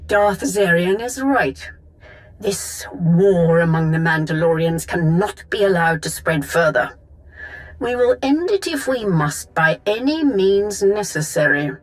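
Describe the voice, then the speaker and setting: A woman's voice: fairly low pitch, expressive, a little slow, poor audio. Haughty female Sith councillor. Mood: cold, resolute. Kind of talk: video game cutscene acting.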